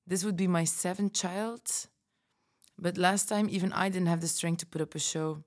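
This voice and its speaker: Woman as fearful pregnant mother, lowish pitch, earnest, measured podcast monologue. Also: fairly even intonation, natural voice. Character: frightened pregnant mother of six who fled her community. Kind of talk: fiction podcast backstory monologue.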